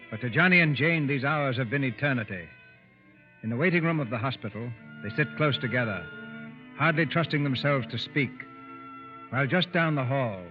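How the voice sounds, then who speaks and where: Old radio drama narrator guy, serious, phone-like sound, faint music. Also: mid-range voice. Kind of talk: solemn scripted narration. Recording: tinny vintage radio recording.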